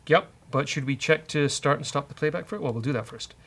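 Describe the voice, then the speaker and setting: A man's voice: fairly high pitch, fast talker, a bit bright. A man hosting a casual coding show, bantering with a co-host and thinking aloud as he works.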